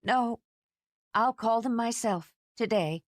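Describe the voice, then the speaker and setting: A woman's voice: a little high, takes it slowly, no echo, studio quality, voice pushed up. A woman voicing a sick, sheepish young woman who answers meekly and apologizes. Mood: pleading. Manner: apologetic, meek.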